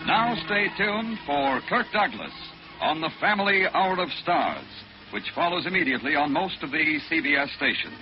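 A male announcer speaks in formal 1940s radio style. His voice is on the high side and polished. The vintage recording is muffled, and music plays behind him. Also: big pitch swings, normal speed.

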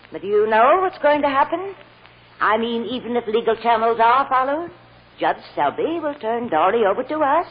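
An American actress playing a brisk, plain-spoken child psychologist in an old radio drama, firm and folksy. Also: female voice, mid-range voice, close to an everyday voice. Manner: no-nonsense, folksy, decisive. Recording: dull old radio recording with hiss.

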